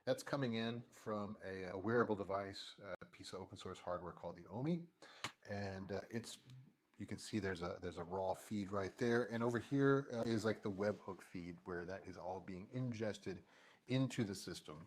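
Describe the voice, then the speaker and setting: A man presenting an informal software demo as an engineer, with a halting, hesitant delivery. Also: low-pitched. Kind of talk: informal tech demo walkthrough.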